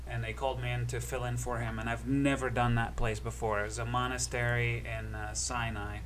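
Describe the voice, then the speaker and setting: Relaxed male guest telling anecdotes. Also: mid-range voice, brisk, slight background noise. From Egypt. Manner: relaxed, anecdotal, filler-heavy. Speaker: guest comedian. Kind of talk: casual storytelling on a podcast.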